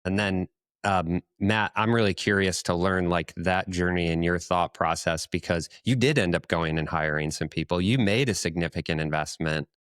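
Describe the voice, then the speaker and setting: Low-pitched man, podcast co-host, casual conversational questions, dry close sound. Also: normal speed, fairly bright tone, clear, resonant tone, no room sound.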